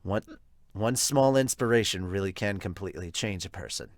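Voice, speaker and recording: Guarded teenage son acted by a man: low and subdued, measured, coolly resentful anime delivery. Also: dry recording, clean studio sound, lightly acted. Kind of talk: anime manga fan dub.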